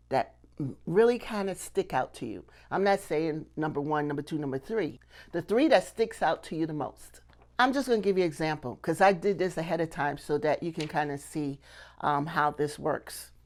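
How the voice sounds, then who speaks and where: A middle-aged woman with a fairly low voice speaks to camera as a teacher. She explains step by step in an emphatic way, repeating key phrases so they stick. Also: darkish tone. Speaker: puppetry teacher and video host. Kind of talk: instructional talk to camera.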